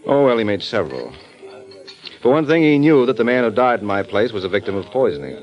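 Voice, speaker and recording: An actor playing a wry, teasing private detective who lays out a case in an old radio drama. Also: male voice, medium pitch, very animated, quick pace, lightly acted. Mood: explaining. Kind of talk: 1950s radio acting. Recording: dull old radio broadcast with music.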